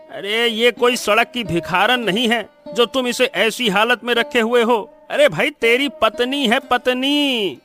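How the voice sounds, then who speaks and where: A man voicing a greedy, mocking elder brother in a cartoon story, scolding and taunting with drawn-out jeers. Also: very high-pitched, bright, forceful tone, full character voice. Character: greedy, mocking elder brother who swindles his sibling. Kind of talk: Hindi cartoon moral story acting.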